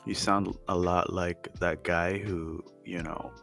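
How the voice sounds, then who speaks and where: A man's voice: low-pitched, flat delivery, slow, vocal fry, a bit bright, faint music behind. A man co-hosting a casual podcast, asking questions and teasing his friends. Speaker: podcast co-host. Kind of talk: casual chat.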